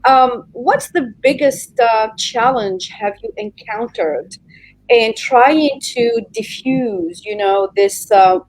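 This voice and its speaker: A woman's voice: a little high, slow pace, poor audio. A woman interviewing a guest, encouraging and asking long questions with some hesitation.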